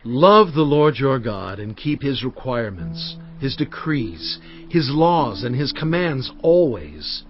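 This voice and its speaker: An actor playing a solemn prophet in dramatized scripture, exhorting his people in earnest, measured oratory with weighty pauses. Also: medium pitch, sing-song. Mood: solemn, urging. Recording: dull studio track over faint music.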